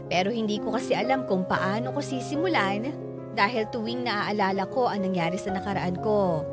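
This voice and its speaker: A woman's voice: mid-range voice, natural voice. An actress playing a sorrowful Filipino woman in her twenties, narrating her own story as a dramatic radio letter. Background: loud background music.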